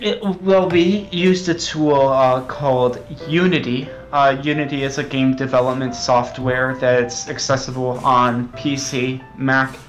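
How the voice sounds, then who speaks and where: A man interviewed as a game developer, earnest and self-serious, speaking haltingly. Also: mid-range voice, steady tone, faint music behind, low-quality recording. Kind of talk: mock interview.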